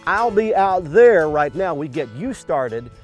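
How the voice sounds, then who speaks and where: A middle-aged man hosting a fishing show from a bass boat on a lake, talking in a folksy, enthusiastic way. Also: big pitch swings, measured pace, soft background music. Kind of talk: outdoor show presenting.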